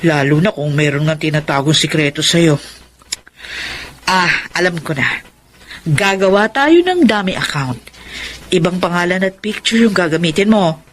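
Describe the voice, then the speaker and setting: A woman's voice: lowish voice, expressive, moderate pace, pauses often, slight background noise, close to an everyday voice. A woman with a Filipino accent playing a suspicious, scheming older confidante in a radio drama. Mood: conspiratorial, prying.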